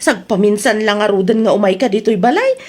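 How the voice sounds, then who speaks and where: A woman playing a chatty, evasive village wife in an Ilocano comedy audio drama, exclaiming and quick to retort. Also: medium pitch, expressive, lightly acted. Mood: friendly, coaxing.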